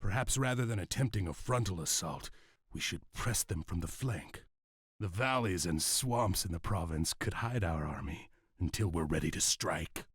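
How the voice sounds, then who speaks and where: An actor playing an ambitious, scornful clan usurper in a video game, husky and commanding. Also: male voice, sits low, lively intonation, normal speed, no echo, studio quality, heavily acted. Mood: brisk, determined. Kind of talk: video game cutscene acting.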